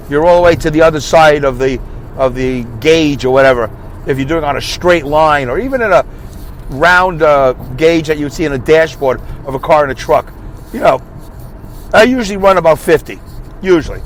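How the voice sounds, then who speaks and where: A man's voice: high-pitched, a little hiss or hum, poor audio. Rambling man talking in his car. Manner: rambling, repeats himself, thinks out loud. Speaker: podcast host. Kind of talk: casual solo monologue. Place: inside a parked car.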